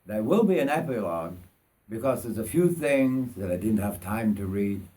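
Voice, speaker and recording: An older man from North Yorkshire, England, reading his own life story aloud in a deliberate, dramatic way. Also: on the low side, animated, noisy background. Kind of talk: autobiographical story narration.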